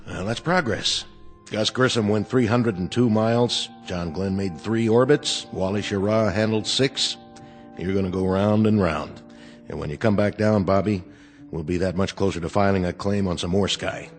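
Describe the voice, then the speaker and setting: A man's voice: sits low, normal speed, long reverb tail, soft background music, natural voice. An actor playing a supportive, easygoing male space program colleague in a radio drama. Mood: friendly, encouraging. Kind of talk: naturalistic radio drama acting.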